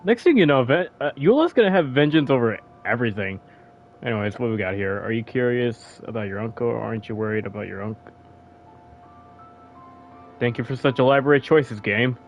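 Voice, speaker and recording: A man's voice: mid-range voice, expressive, a little fast, muffled recording. A man giving casual, sarcastic commentary while playing a video game, swearing now and then. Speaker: gamer commentating a playthrough. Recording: game music behind the voice.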